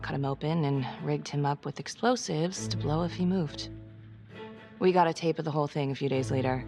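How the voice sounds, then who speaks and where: Low, gravelly weary detective woman. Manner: blunt, swearing, world-weary. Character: weary, guarded, tough female homicide detective. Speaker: video game actor. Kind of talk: naturalistic crime drama acting. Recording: music under the dialogue. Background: background music.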